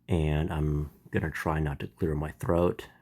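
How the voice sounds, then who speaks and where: Very deep, gravelly man with a stuffy nose. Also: steady tone, a little slow, a little mellow. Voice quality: slightly nasal. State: stuffy, congested. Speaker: channel host. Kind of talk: spiritual explainer monologue.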